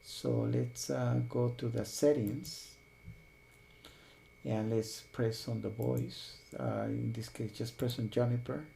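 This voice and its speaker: A man hosting a software tutorial, explaining each step while thinking aloud. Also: mid-range voice, fairly even intonation, slow pace, creaky, slight background noise. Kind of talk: screen-recorded tutorial.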